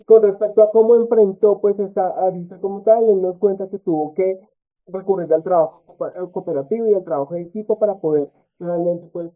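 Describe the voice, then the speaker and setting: Man, high voice, academic conference talk, hesitant with fillers, phone-like sound. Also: fairly even intonation. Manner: halting, many filler sounds and tag questions. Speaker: conference presenter. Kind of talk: academic research presentation. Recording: tinny phone-like audio.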